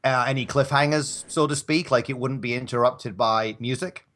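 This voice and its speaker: A man's voice: mid-range voice, normal speed, fairly bright tone. A man with a mid-range voice talks casually as a guest on a live show. His delivery rambles, with a self-deprecating manner. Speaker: guest, audio drama maker.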